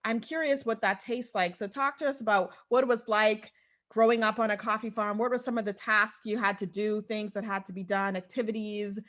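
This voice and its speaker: A woman from the Bahamas hosting a podcast interview, enthusiastic and quick to laugh, over a video call. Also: mid-range voice, steady tone, a bit bright. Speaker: podcast host. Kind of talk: casual interview chat.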